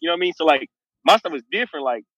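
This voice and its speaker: A man's voice: a little high, lively intonation, very fast, a bit bright, dry recording, poor audio. A man talking loosely in a casual podcast discussion, rambling and filling with "like" and "you know what I mean".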